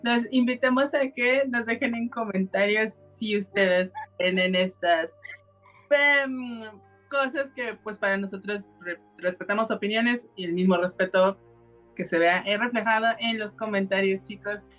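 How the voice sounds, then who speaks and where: A woman's voice: medium pitch, measured pace, fairly bright tone, soft background music. A woman hosting a casual podcast and addressing the listeners directly. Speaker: podcast host.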